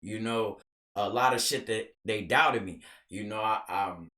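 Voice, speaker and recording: A man with a loud, raspy voice, a podcast guest talking bluntly, getting hyped and repeating himself in casual chat. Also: fairly low pitch, a little slow, reverberant. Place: small studio room.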